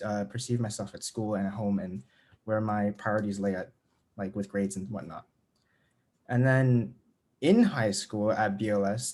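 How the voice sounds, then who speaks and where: Young man, late teens, Cantonese native; earnest, halting panelist on a video call. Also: mid-range voice, a little mellow. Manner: earnest, many fillers and restarts. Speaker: student panelist. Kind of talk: webinar panel answer.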